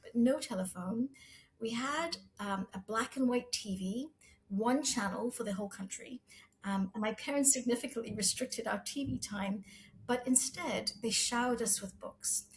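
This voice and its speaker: A middle-aged woman who grew up in Zambia, a guest on an interview podcast, speaking in an earnest, measured, reflective way. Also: a little high, slight background noise, poor audio. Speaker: guest, pharma medical executive. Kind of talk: interview storytelling.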